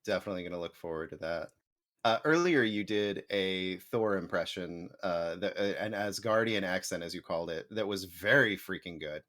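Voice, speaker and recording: A man hosting a casual chat, upbeat and quick to laugh. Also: deep voice, a bit bright. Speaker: host.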